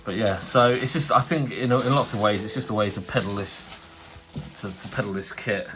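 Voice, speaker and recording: A man's voice: fairly low pitch, fairly quick, low-quality recording. A man with a cold and a nasal voice, hosting a casual tabletop wargaming unboxing. He rambles chattily, full of fillers and restarts. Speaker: tabletop wargaming channel host.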